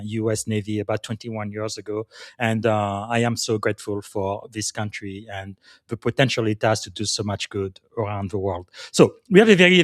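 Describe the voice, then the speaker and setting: Congolese-born man hosting with many pauses. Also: medium pitch, dry recording, clean studio sound. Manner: frequent filler pauses, enthusiastic introductions. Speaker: podcast host. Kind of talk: hosting an interview.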